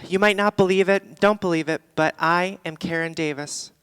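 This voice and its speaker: A woman's voice: fairly low pitch, normal speed, a bit bright, clean tone, natural voice. A woman playing a brash, candid woman telling her life story in a theatrical stage monologue, in short punchy phrases. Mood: showy. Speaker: stage actor in a staged reading. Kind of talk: theatrical monologue before an audience. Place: theatre stage, live performance.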